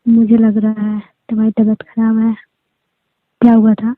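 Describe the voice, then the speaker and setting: A woman's voice: on the high side, monotone, moderate pace, no room sound. A woman chatting casually and privately as a caller on a phone call. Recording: dry, narrow tinny phone line.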